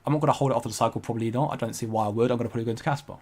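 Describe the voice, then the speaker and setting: A man's voice: medium pitch, fairly even intonation, very fast, darkish tone. A young man hosting a casual crypto livestream, rambling and hesitant with frequent restarts while he reads out viewer comments. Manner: rambling, hesitant, many restarts, laughs often.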